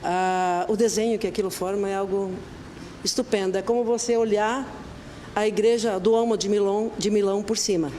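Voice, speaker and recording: A woman, an honorary vice-consul, giving interview answers and explaining steps carefully, spelling details out slowly. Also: mid-range voice, fairly even intonation, dark tone, a little hiss or hum. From Brazil.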